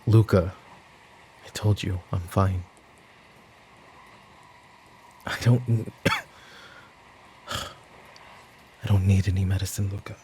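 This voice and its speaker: Man acting a weary, very deep-voiced mafia boss. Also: creaky, warm tone, a little hiss or hum, heavily acted. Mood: irritated, tired. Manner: weary, brooding, speaking in sighs and pauses. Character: weary, guilt-ridden mafia boss and worried father. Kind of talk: roleplay ASMR audio drama acting.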